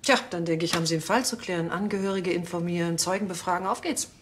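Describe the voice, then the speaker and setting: Schauspielerin als strenge, sarkastische Polizeichefin, eher tiefe Stimme. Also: female voice, fairly low pitch, fairly even intonation, quick pace, natural voice. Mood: dry, sarcastic. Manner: dry, commanding, sarcastic. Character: stern, sarcastic female police chief, impatient with her men. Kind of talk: TV crime comedy dialogue.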